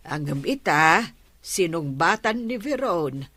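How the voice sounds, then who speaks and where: An actress playing a weary, gently chiding mother in a Filipino radio drama, with an Ilocano accent. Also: lowish voice, lively intonation, takes it slowly, dry recording, full character voice. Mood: coaxing.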